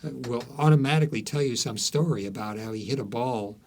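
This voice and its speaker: A man speaking as an author guest in an interview, telling stories in a halting, emphatic way that repeats phrases. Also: medium pitch, a little hiss or hum. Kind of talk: conversational storytelling interview.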